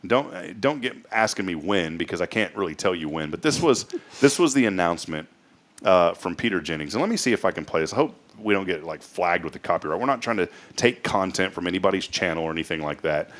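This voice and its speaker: Low-voiced American man chatting casually. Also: animated, fast talker, a bit bright. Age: late 30s. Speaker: talk show host.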